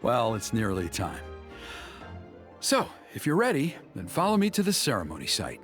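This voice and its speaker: An earnest village elder from a fantasy game. He is courteous and formal, with lively swings in pitch around a middle register. He sounds insistent and concerned for his people. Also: normal speed, faint music behind. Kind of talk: video game character acting.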